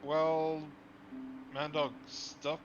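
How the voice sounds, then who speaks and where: A man's voice: a little high, flat delivery, very slow. A man chatting casually on a livestream call, joking and rambling, tripping over his words, sleepy at an early hour. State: sleepy, awake early in the morning. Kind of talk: casual chat. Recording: muffled lo-fi call audio. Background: guitar music.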